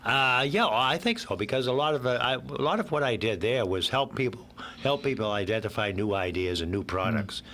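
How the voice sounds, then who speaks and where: An older man with a hoarse voice from a cold, a guest in a radio interview who rambles a little and jokes and laughs at his own quips. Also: medium pitch, expressive, brisk, few pauses, bright, forceful tone, a little hiss or hum.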